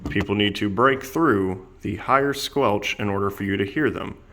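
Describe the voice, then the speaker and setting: Male product reviewer, lower-pitched with a gravelly fry, plainspoken and casual, explaining features step by step. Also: moderate pace, pushed and bright, slight background noise.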